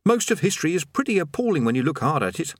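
A gloomy, world-weary wizard, played by a man reading an audiobook answers in a dry, matter-of-fact voice with a lively lilt. The sound is close and dry, like an audiobook booth. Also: a little high, quick pace, no echo, pitched above the performer's own voice. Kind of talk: audiobook character voice.

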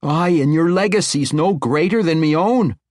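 A man's voice: on the high side, expressive, normal speed, no room sound, clean studio sound, pitched above the performer's own voice. A man voicing a young female dwarf general who speaks bluntly and proudly in a dwarven brogue. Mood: pressing.

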